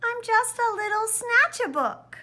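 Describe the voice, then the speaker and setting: Frau spielt ein kleines trauriges Wesen, sehr hohe Stimme. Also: female voice, very high-pitched, animated, a little slow, pushed and bright, voice pushed up, exaggerated voice. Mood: mournful. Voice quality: little voice. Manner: mournful, mumbling. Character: small, sorrowful, apologetic male book-stealing creature.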